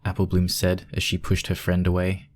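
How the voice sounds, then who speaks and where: A man's voice: low-pitched, completely flat delivery, normal speed, darkish tone, slight background noise. A man reading scripted story narration as the narrator of a piece of fan fiction.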